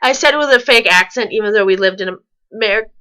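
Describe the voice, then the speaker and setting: A woman's voice: medium pitch, brisk, sharp tone, clean tone. A woman reading fan fiction aloud with sarcastic, incredulous casual commentary, stumbling over the text now and then.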